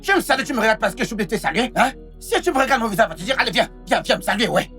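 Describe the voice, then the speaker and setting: Scheming husband, melodramatic, rapid, very animated intonation, repetitive stammering, volatile temper. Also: male voice, extremely high pitch, soft background music, heavily acted. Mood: angry, agitated. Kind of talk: melodramatic film dialogue.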